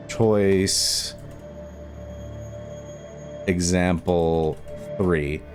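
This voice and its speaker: A man explaining steps in a casual coding tutorial, haltingly thinking aloud as he goes. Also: fairly low pitch, darkish tone. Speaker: tutorial host.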